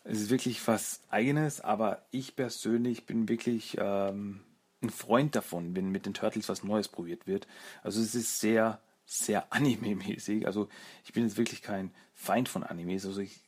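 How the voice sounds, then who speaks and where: Low, husky-voiced man chatting through a review. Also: fairly even intonation, moderate pace, darkish tone. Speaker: host of a fan review show. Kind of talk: casual solo review monologue.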